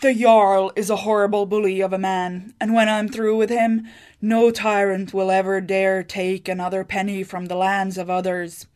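A woman's voice: mid-range voice, little pitch movement, moderate pace, a little mellow, lightly acted. A woman voicing a sly scheming sorceress. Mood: fierce.

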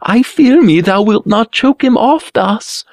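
A man's voice: a little high, huge pitch swings, a little slow, a bit bright, no echo, pitched above the performer's own voice, heavily acted. A man voicing a mocking, chuckling young fairy-tale prince with archaic, scoffing speech. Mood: mocking glee.

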